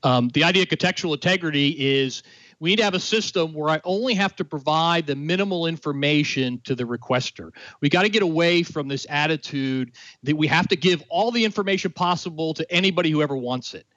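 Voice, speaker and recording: A man's voice: fairly high pitch, brisk, fairly bright tone, no echo. A man explaining a technical idea in conversation, earnest, with many fillers and restarts. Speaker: guest expert. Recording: dry, dull lo-fi sound.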